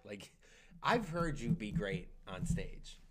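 A young man with a fairly low voice talks in a loose, rambling way, cursing often as he drifts from one story to the next. He sounds like a comedian in an easygoing podcast chat. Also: very animated, slow pace, music in the background. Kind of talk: casual chat.

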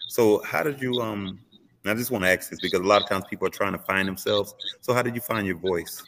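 A man's voice: fairly low pitch, quick pace, a bit bright. A man hosting an interview, asking questions hesitantly with many fillers. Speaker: interview host. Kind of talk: interview conversation. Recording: long hall reverb.

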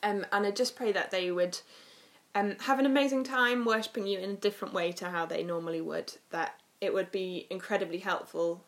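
A woman's voice: medium pitch, steady tone, brisk. An English woman co-hosting a casual church chat, giggling and praying informally. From England. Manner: giggly, chatty, informal prayer. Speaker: church co-host. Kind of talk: casual devotional chat and prayer.

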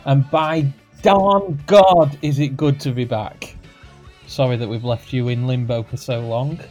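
A man's voice: mid-range voice, steady tone, measured pace, darkish tone, faint music behind. A man in his thirties hosting a casual podcast chat from home, jokey and rambling, laughing often. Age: 30s. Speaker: podcast host. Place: home, recording remotely.

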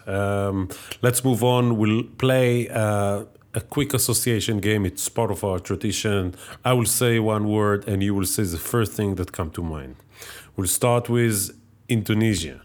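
A man's voice: lowish voice, unhurried. A man hosting a podcast interview, speaking in a polite, hesitant way with many fillers. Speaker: podcast host.

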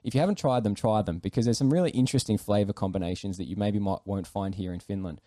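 A man's voice: lowish voice, quick pace, dark tone, no echo, clean studio sound. An Australian man co-hosting a podcast in casual, conversational discussion. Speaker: podcast co-host.

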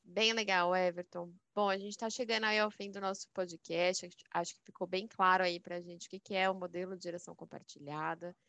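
Podcast hostess, smooth clear voice, dry close sound, friendly curious questions. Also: female voice, fairly low pitch, fairly even intonation, brisk, few pauses, a bit bright, no echo, highs cut off. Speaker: podcast host. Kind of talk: podcast interview.

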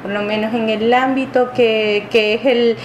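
A woman from Venezuela answers interview questions at length, pausing and restarting as she searches for words. Her voice sits a little high. The recording is roomy, with a clear noise bed behind her. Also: steady tone, highs cut off. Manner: hesitant, many fillers and restarts. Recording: echoey room with clear background noise.

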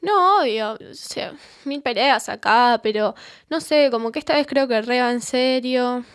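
An actress with an Argentine accent playing an anxious teenage daughter who talks in hesitant slang in an audio drama. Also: female voice, fairly high pitch, measured pace, clean tone. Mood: uneasy, downcast. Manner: hesitant, filler-heavy teen slang. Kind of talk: naturalistic audio drama dialogue.

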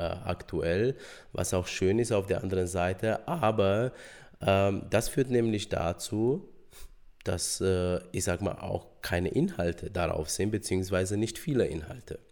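A man hosting a solo podcast, hoarse from a cold, talking casually with many hesitant filler sounds. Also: on the low side, darkish tone. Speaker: podcast host.

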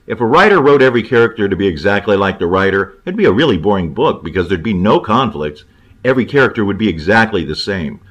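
A man's voice: lowish voice, fairly bright tone. A man giving an informal, opinionated film commentary in a rambling, conversational way. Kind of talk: informal film review monologue.

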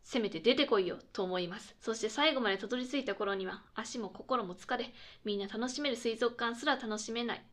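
A woman playing a cheerful radio host in a scripted comedy, chirpy and polite with quick retorts. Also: fairly high pitch, a bit bright, lightly acted. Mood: bright, presenting. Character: cheerful female radio host, playful straight man to sidekick. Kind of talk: scripted comedic late-night radio chat.

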